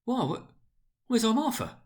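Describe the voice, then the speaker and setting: Raised-pitch tender working father, played by a man. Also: big pitch swings, unhurried, darkish tone, heavily acted. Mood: anxious surprise.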